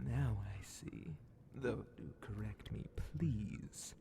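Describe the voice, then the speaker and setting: A man voicing a sly, menacing demon Grand Vizier of hell in a breathy, theatrical half-whisper for an ASMR horror fantasy roleplay. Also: on the low side, mellow, a little hiss or hum. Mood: mocking, condescending. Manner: slow, theatrical, drawn-out, mockingly polite.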